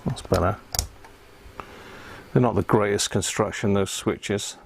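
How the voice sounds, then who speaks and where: A man talks in a fairly low, creaky voice, muttering casually through hands-on repair work. The delivery is unscripted live-stream chat, with steady background noise and small clicks. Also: a little slow. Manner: unscripted, muttering to himself while working. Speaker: hobbyist host of a live stream on radio repair. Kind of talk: casual live workbench commentary.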